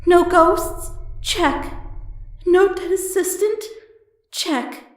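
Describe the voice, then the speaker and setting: Woman voicing an anxious, high-pitched pony princess. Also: very slow, exaggerated voice. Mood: anxious checking. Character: young female pony princess, weary, anxious, frightened. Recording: echoey voice over background music.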